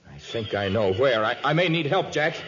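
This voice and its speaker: A man playing a calm, commanding jungle hero in an authoritative, deliberate 1950s radio adventure style. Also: medium pitch, noisy background, natural voice. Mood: brisk, determined. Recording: dull vintage 1950s radio recording.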